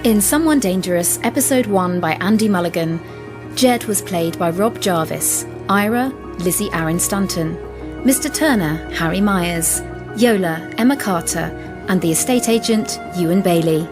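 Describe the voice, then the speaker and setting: A woman's voice: lowish voice, animated, normal speed. A woman announcer reading scripted broadcast credits in a formal announcement style. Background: music.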